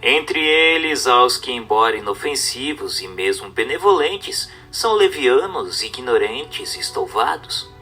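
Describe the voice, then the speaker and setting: A man's voice: mid-range voice, expressive, measured pace, few pauses, bright, forceful tone, breathy edge. A man gives a formal scripted reading of a religious text in a solemn, didactic manner. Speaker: narrator. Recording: narration with background music.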